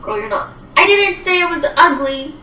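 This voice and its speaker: A woman's voice: high voice, big pitch swings, normal speed, pushed and bright, roomy sound, recorded from a distance, a little hiss or hum. A woman in her twenties chatting casually on a livestream, rambling with lots of fillers as she answers viewer comments. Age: 20s.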